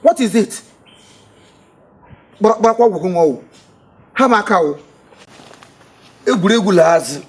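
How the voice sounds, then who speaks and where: An actor with a Nigerian accent playing an excitable young man who stammers and pleads in pidgin. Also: high-pitched, moderate pace, long pauses. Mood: pleading. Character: excitable broke young man chasing his money. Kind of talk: film comedy acting.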